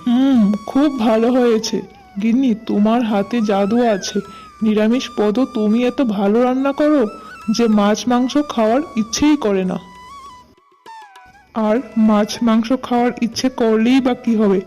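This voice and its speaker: A woman's voice: on the high side, moderate pace, dark tone, dull audio, lightly acted. A woman voicing a worried, hardworking male vegetable seller and family man in a cartoon, plaintive and earnest. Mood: affectionate, resigned. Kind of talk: cartoon dialogue acting. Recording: music bed behind voice.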